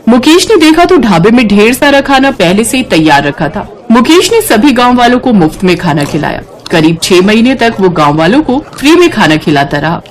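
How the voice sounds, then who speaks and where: A woman's voice: a little high, big pitch swings, moderate pace, hardly any pauses. A woman narrating a scripted moral story as a storyteller.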